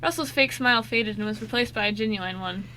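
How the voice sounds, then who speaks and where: A woman's voice: mid-range voice, brisk, bright, forceful tone, slight background noise, low-quality recording. A woman narrating a scripted amateur radio drama, now and then stumbling over the script.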